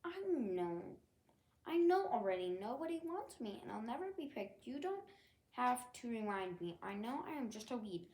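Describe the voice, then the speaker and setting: A woman's voice: fairly high pitch, very animated, normal speed, darkish tone, roomy sound. A woman voicing a small, timid, downhearted dandelion in a storybook, meek and earnest. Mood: dejected.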